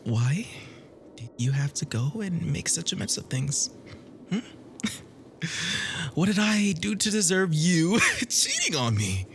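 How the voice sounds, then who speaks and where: A performer playing an obsessive, jealous, violently devoted lover in an ASMR roleplay, theatrical and swinging between breathy whispers and manic laughter. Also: medium pitch, huge pitch swings, slow pace, long pauses, slight background noise, full character voice. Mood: wounded, mocking. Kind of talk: ASMR roleplay audio drama.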